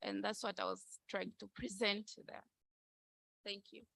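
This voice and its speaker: A woman giving an earnest contribution from the floor of a conference, measured and with some fillers. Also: medium pitch, little pitch movement, vocal fry, a bit bright. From Tanzania. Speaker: conference participant.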